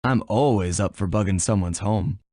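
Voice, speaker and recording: A man's voice: fairly low pitch, moderate pace, mellow, dry recording, clean studio sound, natural voice. A man playing a cool, wisecracking master thief in a video game, with a wry, laid-back delivery.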